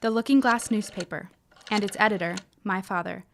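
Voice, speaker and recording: A woman's voice: medium pitch, brisk, vocal fry, a little hiss or hum, natural voice. An actress playing a determined, no-nonsense schoolgirl with a formal manner in a period audio drama.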